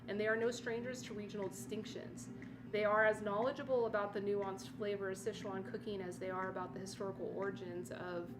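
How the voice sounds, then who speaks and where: A woman hosting a livestream who reads an article aloud in a steady voice and breaks off now and then for chatty, surprised comments of her own. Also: medium pitch, background noise. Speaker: livestream host. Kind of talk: reading an article aloud with casual asides. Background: music playing underneath.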